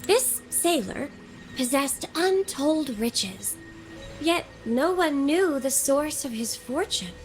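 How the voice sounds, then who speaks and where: A woman playing a gentle, curious young woman in a video game, telling legends in a deliberate, formal storybook manner. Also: high voice, expressive, soft background music, low-quality recording. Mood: hushed storytelling.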